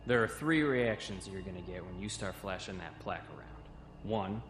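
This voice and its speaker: A man's voice: deep voice, normal speed, fairly bright tone, slight background noise. An actor playing a wary young male cadet who explains things in an audio comic drama. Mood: wry, explaining. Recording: roomy echo, music behind.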